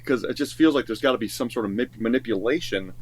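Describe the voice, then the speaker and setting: A man's voice: medium pitch, quick pace, slightly rough. A man, a songwriter co-hosting a reaction chat, asking curious questions and repeating himself as he thinks aloud. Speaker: songwriter co-host.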